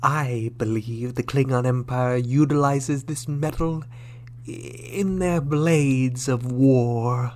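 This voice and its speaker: Very slow, sly alien ambassador, played by a man. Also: medium pitch, darkish tone, natural voice. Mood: sly bargaining. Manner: drawn-out, measured, insinuating politeness. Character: sly, suavely polite male Cardassian ambassador. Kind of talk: sci-fi radio drama acting. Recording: faint background hum and noise.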